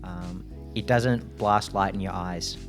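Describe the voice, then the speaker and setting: A man co-hosting a podcast, chatting casually in a relaxed, measured way. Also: low-pitched, music in the background. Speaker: podcast co-host. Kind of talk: casual chat.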